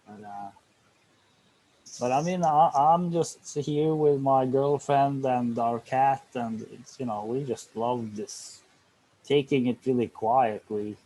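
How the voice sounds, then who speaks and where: A man's voice: mid-range voice, slow, mellow, poor audio. A man chatting casually and a little hesitantly about his holidays. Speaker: group participant. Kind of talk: casual chat.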